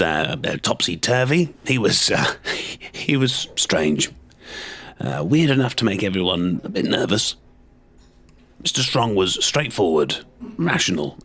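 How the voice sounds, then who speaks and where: A man playing a low-voiced gossipy storybook old hand. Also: a bit bright, exaggerated voice. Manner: chatty, hesitant, gossipy, chuckling. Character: avuncular male storybook character reminiscing about old politics. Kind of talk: mockumentary interview in audio drama.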